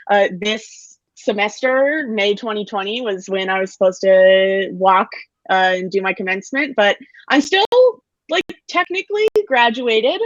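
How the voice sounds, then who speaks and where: A woman's voice: on the high side, no room sound. A woman in her twenties, an actor, chatting casually over a lo-fi computer video call. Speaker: actor, recent drama graduate. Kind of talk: casual chat, interview answers. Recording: dull, lo-fi computer call audio.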